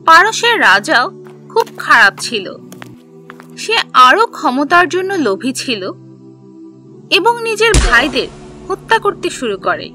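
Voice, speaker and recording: Woman storyteller for a children's cartoon, very high bright voice, scripted narration over music.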